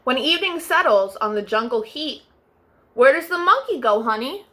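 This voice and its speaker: A young woman hosting a children's storytime, reading picture books aloud in a gentle sing-song way with soft, drawn-out refrains. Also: sits high, animated, a little hiss or hum.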